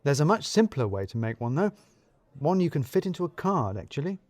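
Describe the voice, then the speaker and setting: A man's voice: fairly high pitch, very animated, fairly quick, darkish tone, no room sound. A man narrating a scripted first-person audio drama in a wry, conversational, confiding way.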